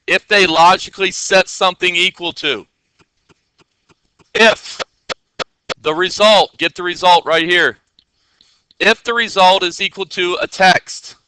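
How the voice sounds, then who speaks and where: A man's voice: high-pitched, measured pace, pauses often, pushed and bright, smooth, no room sound, low-quality recording. A man teaching coding in a casual screen-share tutorial. He talks in a loose, chatty way with many fillers, and keeps checking in with his listeners.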